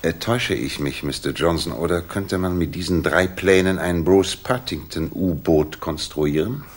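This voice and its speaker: Confident detective, really low husky voice, probing and bossy, old german radio drama. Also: male voice, normal speed. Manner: commanding, probing, self-assured. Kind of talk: 1960s German radio play acting.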